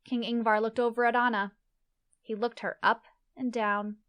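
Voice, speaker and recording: A woman's voice: fairly high pitch, little pitch movement, moderate pace, a bit bright, smooth. A woman reading scripted narration for a fantasy story as its narrator.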